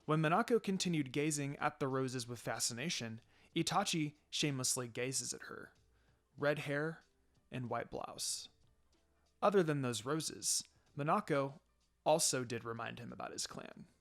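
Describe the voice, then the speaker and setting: A man's voice: medium pitch, unhurried, a bit bright. A man narrating a scripted fan fiction story as a storyteller. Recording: music behind the voice.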